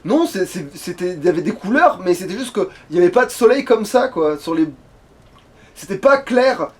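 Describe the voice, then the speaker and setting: Male let's player, high-pitched voice, crude joking banter, casual gaming chat. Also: sing-song, normal speed. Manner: crude, jokey, sweary banter. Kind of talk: casual gaming commentary with a co-host.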